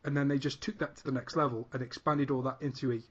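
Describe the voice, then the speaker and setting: British male panelist, eager, mid-pitch, lo-fi. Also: flat delivery, fast, husky. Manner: enthusiastic, builds up lists. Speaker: panel regular. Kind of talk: casual opinion chat. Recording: dull lo-fi audio.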